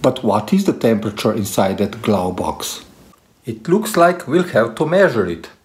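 Animated man vlogger, lively pitch swings. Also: moderate pace. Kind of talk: presenting to camera and narrating a car tech video.

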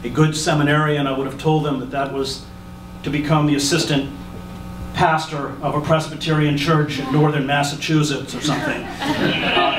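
Wry, measured man on a theater stage. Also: on the high side, noisy background. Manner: wry, measured, dry humor. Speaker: guest speaker. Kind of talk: storytelling speech on stage. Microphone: handheld mic. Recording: echoey hall, mic heard from far.

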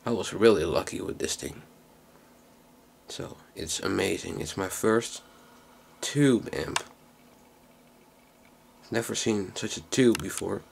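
A man giving a casual, unscripted hands-on demonstration in a low, creaky voice, recorded on a handheld camera microphone. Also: takes it slowly, pauses often, slight background noise. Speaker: hobbyist video maker. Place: small indoor workroom.

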